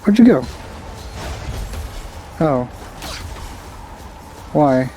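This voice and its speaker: Low, mellow woman doing laid-back game commentary. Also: expressive, extremely slow, low-quality recording. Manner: laid-back, off-the-cuff reactions. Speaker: let's play host. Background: faint game music.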